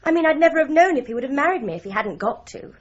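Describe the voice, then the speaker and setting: A frank, slightly sheepish young woman, voiced by a woman with a fairly high voice. in a radio drama. The recording is dull and lo-fi. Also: expressive, very fast. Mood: candid. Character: young woman, candid and relieved.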